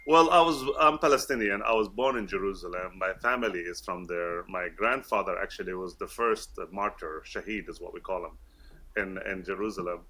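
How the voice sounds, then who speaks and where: Bright-voiced man with British-schooled English. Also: low-pitched, fairly quick. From Kuwait. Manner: friendly, laughing, many fillers and restarts. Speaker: guest. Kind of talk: casual interview talk.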